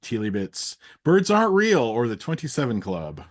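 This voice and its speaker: Slightly husky, animated man hosting a quiz. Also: mid-range voice, moderate pace. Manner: playful, teasing. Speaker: interviewer, host. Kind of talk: rapid either-or quiz in casual chat.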